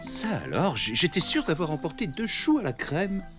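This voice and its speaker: A cheerful cartoon elephant father, with a swooping, animated delivery. He is doting and good-natured, with loud music playing behind him. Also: a little high, normal speed. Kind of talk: French children's cartoon acting.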